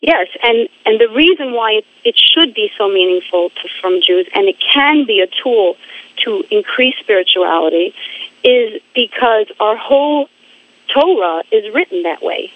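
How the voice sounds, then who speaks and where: Woman, phone interview guest, halting, repeats words, tinny phone sound. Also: fairly high pitch, expressive. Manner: halting, repeats words while searching for phrasing. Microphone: phone line.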